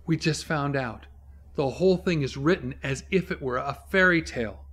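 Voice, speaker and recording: A man in his fifties speaks as a Bible teacher addressing the camera. His voice sits a little high and swings widely in pitch. He explains and preaches emphatically, pausing dramatically to stress key words. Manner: emphatic, dramatic pauses for effect.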